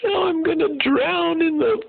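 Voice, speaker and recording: Wailing melodramatic zebra. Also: male voice, very high-pitched, a little slow, gravelly, narrow, tinny audio, voice pushed up, heavily acted. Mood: sobbing. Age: older. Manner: wailing, sobbing, overly dramatic complaining. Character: melodramatic whiny young male zebra stuck in mud.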